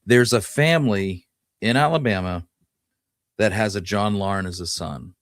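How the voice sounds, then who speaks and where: A man's voice: lowish voice, measured pace, dry recording, clean studio sound. A man co-hosting a history podcast, talking casually and musing his way through the details. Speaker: podcast co-host.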